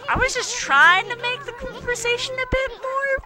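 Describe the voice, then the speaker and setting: A woman voicing a tiny, brash male bug-like wandering artist from a video game, boastful, excitable and teasing. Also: very high-pitched, normal speed, music in the background, pitched above the performer's own voice. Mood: indignant. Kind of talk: video game cutscene voice-over, read aloud.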